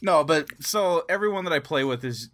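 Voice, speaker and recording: A man's voice: fairly high pitch, expressive, moderate pace, fairly bright tone. Man telling stories in casual podcast chat. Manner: chuckles through stories, acts out quoted dialogue. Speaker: podcast co-host. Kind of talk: casual gaming chat, animated storytelling.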